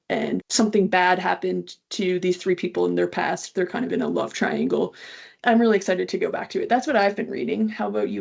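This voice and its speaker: Creaky-voiced woman hosting a casual interview. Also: lowish voice, a little mellow, muffled recording. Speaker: interview host.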